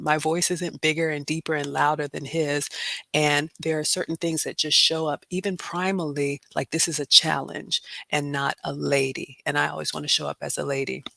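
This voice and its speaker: A middle-aged woman hosting a group talk, sharing personal reflections in measured, deliberate phrasing. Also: low voice, vocal fry, fairly bright tone, dry recording. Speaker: host.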